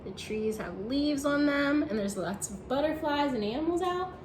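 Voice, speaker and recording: A woman teacher reading a picture book aloud to children, expressive and encouraging, asking her young listeners questions. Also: sits high, normal speed. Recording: roomy echo with faint background noise.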